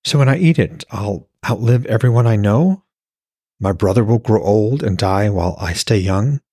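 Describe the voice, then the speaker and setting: A man's voice: fairly low pitch, expressive, normal speed, dark tone, husky, no room sound, clean studio sound. A man voicing an anxious, questioning woman in a fantasy audiobook, wary and hesitant. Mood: troubled, doubtful.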